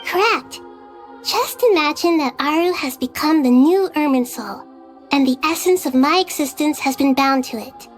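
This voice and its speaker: Small wise girl deity: high, gentle, measured and formal game dialogue, faint music underneath. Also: expressive. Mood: reflective. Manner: measured, formal, softly earnest. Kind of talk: scripted video game dialogue.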